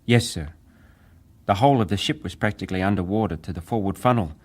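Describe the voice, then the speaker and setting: A deep-voiced young ship's wireless operator testifies at a dramatized hearing. He answers with measured deference, sometimes hesitating, as a survivor choosing his words. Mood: grave, restrained.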